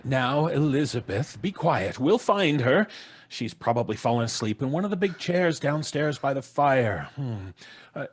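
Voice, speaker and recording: A man's voice: medium pitch, big pitch swings, measured pace, husky. A middle-aged man playing a flustered, bossy American father, stammering and blustery, in old-time radio drama style. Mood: commanding. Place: small home studio. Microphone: close studio microphone.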